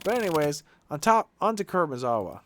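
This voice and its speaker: Animated, higher-voiced man reading game dialogue. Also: fast talker, slight background noise. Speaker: game streamer. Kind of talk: reading visual novel text aloud in character. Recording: music playing behind the voice.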